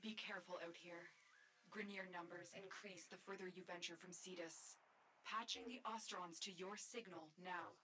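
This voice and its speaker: A woman voicing a calm female mission handler who guides soldiers over comms with measured commands. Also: on the low side, lightly acted. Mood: cautioning. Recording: game audio over ambient noise.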